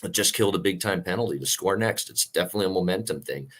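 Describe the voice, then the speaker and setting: A man with a gravelly voice worn from a night of singing, hosting a sports betting show in casual, rambling talk. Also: sits low, fast talker, fairly bright tone.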